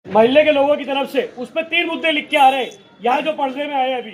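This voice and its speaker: A man with a strikingly high-pitched voice addresses a community meeting as moderator. He explains things point by point in unscripted speech, checking back with those listening. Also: fast talker, soft background music. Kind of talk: impromptu public address.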